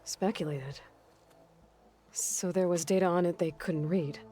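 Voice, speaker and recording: A woman's voice: lowish voice, fairly even intonation, a little slow, dark tone, close to an everyday voice. An actress playing a capable young female adventurer in a video game, speaking in a direct, understated, questioning way. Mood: calm, probing. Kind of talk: video game cutscene acting. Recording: music behind the dialogue.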